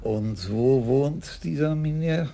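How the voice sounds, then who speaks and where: An actor playing a shrewd, easygoing older police commissioner who talks in a slow, wry, unhurried way. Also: male voice, mid-range voice, dark tone, a little hiss or hum. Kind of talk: radio drama acting.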